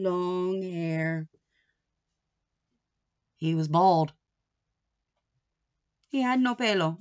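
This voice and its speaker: Slow, creaky-voiced woman reading to children. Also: mid-range voice, muffled recording. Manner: expressive, asks questions and pauses for answers. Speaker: teacher reading aloud to young children. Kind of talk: children's story read-aloud with asides.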